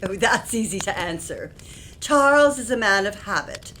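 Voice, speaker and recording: A woman's voice: mid-range voice, expressive, normal speed, fairly bright tone, a little hiss or hum, natural voice. Composed period-drama wife, played by a woman. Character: composed, helpful, concerned wife of a missing man. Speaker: actor in audio drama. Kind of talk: audio theatre period mystery acting.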